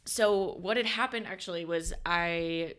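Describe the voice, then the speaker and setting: A woman's voice: on the low side, measured pace, a bit bright. A young woman from the United States, a podcast guest in casual chat who rambles with many fillers and corrects herself.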